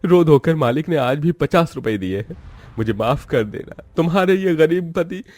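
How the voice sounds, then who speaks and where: A man's voice: on the high side, animated, normal speed, darkish tone, no room sound, faint music behind. A man playing a poor, sorrowful, apologetic husband in a cartoon story, speaking plaintively. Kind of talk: animated cartoon story acting.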